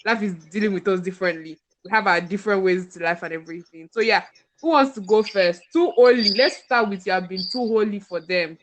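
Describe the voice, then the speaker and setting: Podcast host: female, Nigerian accent, mid-pitched, casual conversational delivery. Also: brisk. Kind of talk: casual group chat.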